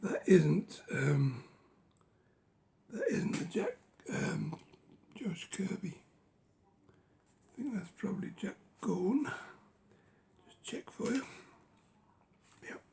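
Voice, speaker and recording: Hoarse man book collector, rough gravelly voice, unhurried half-whispered musing. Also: high voice, sing-song, a little mellow. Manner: unhurried, musing, lots of ums and rights. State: losing his voice. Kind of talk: casual collection walkthrough.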